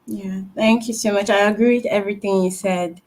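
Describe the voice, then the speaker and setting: A woman's voice: medium pitch, steady tone, a little mellow. Woman hosting an online interview. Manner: measured, filler-laden question asking. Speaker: host.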